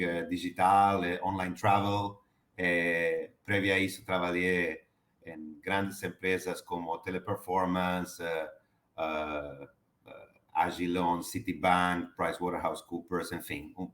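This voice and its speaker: Man, Argentine Spanish accent, very low pitch, hesitant, echoey room. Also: fairly bright tone. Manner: hesitant, many fillers and restarts. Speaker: guest, HR director. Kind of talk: interview answers.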